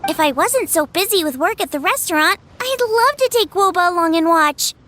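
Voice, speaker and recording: Upbeat young cook girl, bright animated voice, practical, chatty, faint noise, game dialogue. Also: extremely high pitch, moderate pace. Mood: chipper. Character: perky, busy, practical teenage girl chef. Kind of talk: animated video game voice acting.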